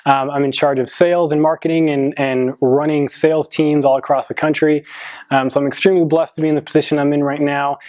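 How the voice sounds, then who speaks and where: A man in his twenties giving a motivational talk to a high school football team, earnest, with many ums and restarts. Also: darkish tone, dry recording. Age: 20s. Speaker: motivational speaker.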